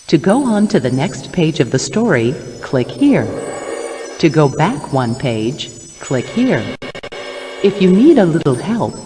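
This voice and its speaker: Lilting, kindly fairy godmother. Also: deep voice. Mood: kindly, inviting. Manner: gentle, storytelling. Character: kindly female fairy godmother telling fairy tales. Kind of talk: scripted children's storybook narration.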